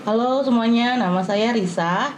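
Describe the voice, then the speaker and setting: A woman's voice: fairly high pitch, normal speed, slight background noise. A woman, talking casually about herself. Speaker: panel participant, creative worker. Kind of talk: casual personal talk.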